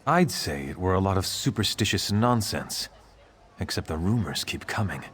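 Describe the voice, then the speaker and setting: An actor playing a stern, earnest city guard officer in a fantasy game. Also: male voice, low voice, lively intonation, moderate pace, a bit bright, slight background noise. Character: stern male city guard officer, regretful and duty-bound. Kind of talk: scripted fantasy game dialogue.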